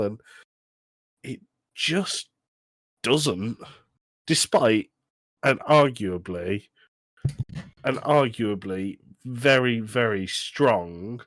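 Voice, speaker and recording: A man's voice: medium pitch, no room sound. A man co-hosting a podcast, giving his opinions casually and emphatically, repeating words for stress. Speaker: podcast co-host.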